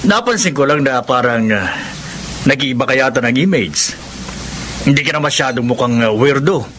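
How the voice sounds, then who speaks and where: A man's voice: mid-range voice, animated, moderate pace, a bit bright, lightly acted. An actor playing a teasing middle-aged father in a Filipino radio drama, speaking with a Filipino accent. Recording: faintly noisy radio drama ambience.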